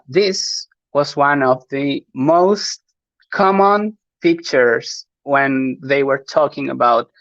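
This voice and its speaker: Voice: medium pitch, a bit bright, no echo, muffled recording. A speaker from Chile with a Chilean Spanish accent, a native Spanish speaker, giving a live online panel talk with many fillers, restarts and nervous laughs.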